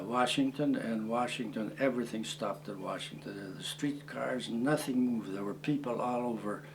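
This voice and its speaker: A man in his eighties, originally from Croatia, recalling his past in an oral history interview, speaking haltingly with many fillers and restarts. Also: lowish voice, a little hiss or hum. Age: 80s. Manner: plain, matter-of-fact storytelling. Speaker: war veteran interviewee.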